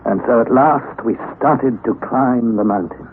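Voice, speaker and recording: An actor playing an earnest young nephew, narrating an old-time radio drama in a measured, precise, emphatic way. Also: male voice, medium pitch, lightly acted. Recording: noisy, tinny vintage radio recording.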